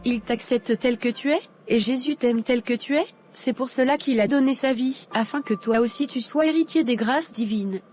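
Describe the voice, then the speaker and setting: Une femme qui double une jeune fille croyante, douce et sincère. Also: female voice, on the high side, moderate pace, slight background noise, natural voice. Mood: gentle. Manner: soft, earnest, forgiving. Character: young woman, daughter freed from captivity, gentle and devout.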